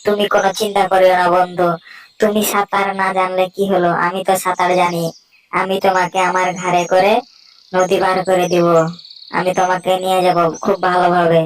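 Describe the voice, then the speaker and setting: A woman voicing a friendly but selfish tiger in a cartoon fable, speaking in a coaxing, measured way. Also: fairly low pitch, little pitch movement, natural voice, low-quality recording. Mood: pleased, reassuring. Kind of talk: cartoon character voice acting.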